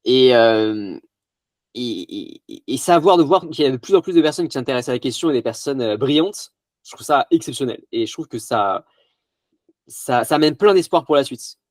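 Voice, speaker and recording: Un homme animateur de podcast, enrhumé, qui parle avec enthousiasme en butant souvent sur ses mots. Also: male voice, mid-range voice, animated, normal speed, no echo, studio quality. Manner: enthusiastic, stumbling restarts. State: has a cold. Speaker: podcast host. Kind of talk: casual interview talk.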